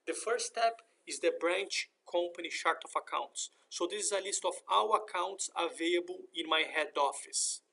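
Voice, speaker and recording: A man narrating a step-by-step software screen tutorial in a methodical, explanatory manner. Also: high voice, moderate pace, gravelly, few pauses, rough recording. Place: voice-over for a screen recording.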